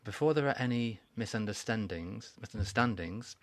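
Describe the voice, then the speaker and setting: A man giving a formal welcoming speech at a conference, measured and deliberate, with pauses and restarts. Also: on the low side, expressive, dry recording. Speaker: conference speaker. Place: conference hall.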